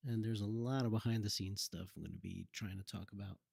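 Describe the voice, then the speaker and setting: Low, gravelly man giving casual game commentary. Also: steady tone, quick pace, a little mellow, no echo, studio quality. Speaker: former game cutscene developer giving commentary. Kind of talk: casual commentary over gameplay.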